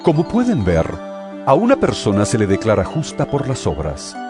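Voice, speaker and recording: Emphatic, animated apostle over music. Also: male voice, medium pitch, moderate pace. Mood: assertive. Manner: emphatic, rhetorical, admonishing. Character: earnest male apostle exhorting and admonishing his brethren. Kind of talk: dramatized scripture reading with oratorical delivery.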